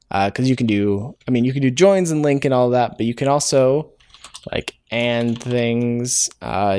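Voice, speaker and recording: A man walks through code in an easygoing, unscripted way, pausing on filler sounds and correcting himself as he goes. His voice sits at an ordinary middle pitch for a man. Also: a little mellow. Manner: thinks aloud, hesitant, self-correcting with many "uh"s. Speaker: programming tutorial host. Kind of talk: casual live-coding walkthrough.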